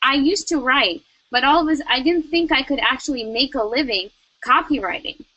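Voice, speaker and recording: A young woman delivers motivational advice in a high, bright voice. She is emphatic and repeats her key lines for effect. The sound is close and dry with no room around it. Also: brisk, slightly rough, no echo, low-quality recording. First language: Russian. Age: young adult. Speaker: network marketing co-host. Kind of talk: motivational talk.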